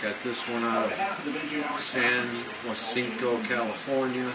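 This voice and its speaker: A man's voice: mid-range voice, level tone, a little slow. A man giving an unscripted, rambling show-and-tell as an amateur radio hobbyist, chatting casually. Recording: noisy room, shortwave radio playing. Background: shortwave radio broadcast.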